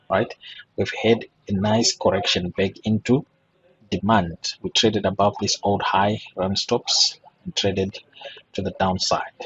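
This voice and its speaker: A man with a hoarse, husky voice teaching a forex trading lesson over a chart, checking in with "right?" and asking rhetorical questions. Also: lowish voice, dull audio. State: voice still hoarse after a throat infection. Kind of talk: screen-share chart walkthrough lesson.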